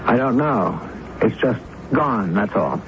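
A dry drama critic on dull radio. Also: male voice, on the low side, expressive, slow. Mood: dry, unbothered. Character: dry, evasive, self-righteous male drama critic. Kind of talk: 1970s radio drama acting. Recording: dull, noisy 1970s radio recording.

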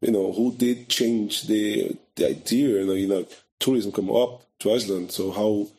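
A middle-aged man from Brazil who speaks English with a Brazilian Portuguese accent, his first language being Portuguese. His voice sits fairly low. He talks as an interview guest in a loose, conversational way, acting out the people he quotes with halting phrasing. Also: a little mellow, breathy edge. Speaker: guest, photographer.

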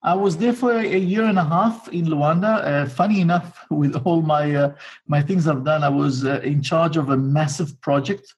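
Husky middle-aged Israeli man, slightly high voice. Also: moderate pace, poor audio. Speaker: interview guest, businessman. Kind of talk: conversational life story in an interview.

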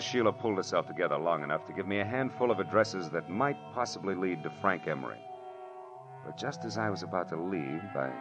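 An actor playing a wisecracking hard-boiled private detective with an American accent, wry and slangy, in 1940s radio drama style. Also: male voice, low voice. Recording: dull old-time radio recording, music behind.

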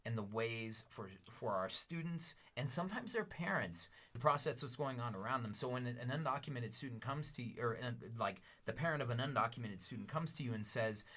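A man co-hosting a teaching podcast, talking in an earnest, reflective way with easy laughter. His voice is bright and clear at a middling pitch, and he comes from Denver. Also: animated, fairly quick, few pauses. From Denver, USA. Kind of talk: casual podcast chat.

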